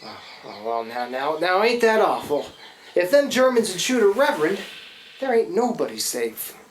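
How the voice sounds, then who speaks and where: A man acting in a live radio play as a rough-spoken, easygoing Canadian river boat pilot with folksy, rambling, ungrammatical speech. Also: high voice, big pitch swings, normal speed. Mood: concerned, urging. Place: live stage performance in a room. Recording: roomy live stage recording, faint noise.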